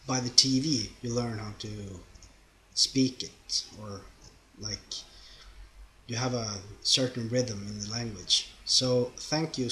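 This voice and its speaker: A man's voice: mid-range voice, pushed and bright. A young man from Sweden with a Swedish accent, rambling casually through viewer questions in his second language. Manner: chatty and rambling, loose grammar, frequent fillers. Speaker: YouTuber answering viewer questions. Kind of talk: casual Q&A vlog. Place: home, lives in Norway.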